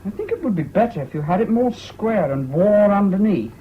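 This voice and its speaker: A man's voice: sits high, animated, a little fast, slight background noise. An actor playing an offhand, wryly amused young activist man in a 1970s radio drama. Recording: muffled old radio recording, background crowd murmur.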